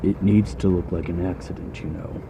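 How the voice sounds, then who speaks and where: A man playing a calm but casually menacing henchman in an amateur audio drama, low-key and matter-of-fact. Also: normal speed, muffled recording, background noise, natural voice. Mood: quietly menacing.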